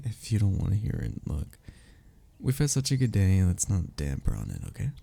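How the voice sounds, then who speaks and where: Male actor as wistful young best friend in love, deep gravelly voice, very expressive pitch, halting confessional delivery, intimate audio roleplay. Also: full character voice. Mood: reluctant, teasing. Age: young adult.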